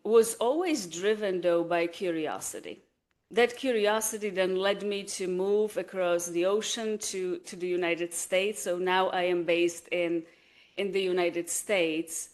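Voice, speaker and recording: A woman's voice: on the low side, fairly even intonation, darkish tone. A woman from the Republic, speaking earnestly in a reflective interview with a few small restarts. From Czech Republic. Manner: earnest, measured, with small restarts and repeated words. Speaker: guest, energy healer.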